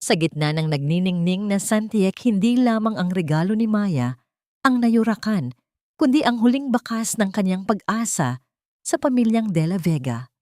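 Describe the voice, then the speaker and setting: A woman's voice: mid-range voice, animated, normal speed, mellow, no echo, clean studio sound. A woman narrating a dramatic story from a script in a solemn, emotive manner.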